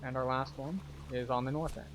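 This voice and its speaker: A man's voice: mid-range voice, steady tone, a little fast, noisy background. A man giving methodical, instructional commentary as the tour guide of a video game walkthrough. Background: game music.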